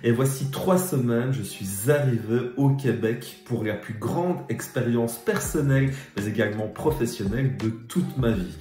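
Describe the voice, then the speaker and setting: A middle-aged man from Belgium with a Belgian French accent, a pedagogical advisor giving measured, explanatory advice directly to teachers. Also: medium pitch, mellow, roomy sound, faint music behind. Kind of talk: scripted educational talk to teachers.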